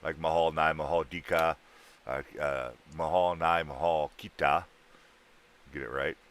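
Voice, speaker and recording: A man's voice: extremely low pitch, steady tone, unhurried, fairly bright tone. A man in his thirties, hosting a livestream and bantering with guests in trucker slang. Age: 30s. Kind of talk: casual livestream chat.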